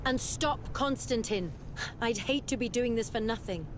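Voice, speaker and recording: A cool, rational scholar-warrior woman played by an actress in a video game. Her slightly high voice is wry and matter-of-fact, over clear rumbling noise. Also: normal speed, natural voice.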